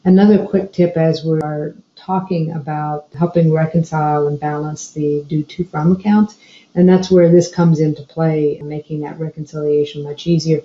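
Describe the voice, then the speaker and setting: Low-pitched woman, software tutorial, roomy sound. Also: lo-fi sound. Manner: explanatory, step-by-step. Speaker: software trainer. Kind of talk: screencast tutorial narration. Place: indoor room, screen recording voice-over.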